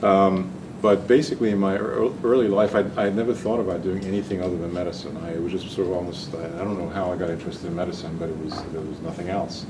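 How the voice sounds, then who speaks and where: A man's voice: low voice, little pitch movement, fast talker, hardly any pauses. An older man from Hungary, a physician and researcher giving a talk, speaking hesitantly with many ums and restarts. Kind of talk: lecture-style talk. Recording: distant mic with audible room noise.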